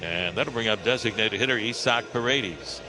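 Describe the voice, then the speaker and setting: An American man calling live baseball play-by-play on the radio, with conversational, folksy asides between pitches. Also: normal speed, noisy background. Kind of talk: live sports commentary. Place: broadcast position at an open-roof ballpark.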